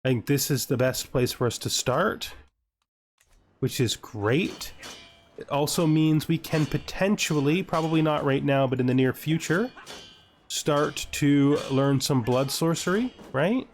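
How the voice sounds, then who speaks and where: A man's voice: on the high side, unhurried, slight background noise. A man giving casual let's-play commentary, thinking aloud in fragments and asking himself questions as he goes. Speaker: gaming video host. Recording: music behind the voice. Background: background music.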